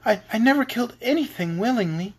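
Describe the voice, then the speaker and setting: A man's voice: high voice, big pitch swings, brisk, slightly rough, voice pushed up, full character voice. A man voicing an earnest, meek little girl in a storybook, pleading in a plain-spoken way. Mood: sad, pleading. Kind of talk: storybook character reading.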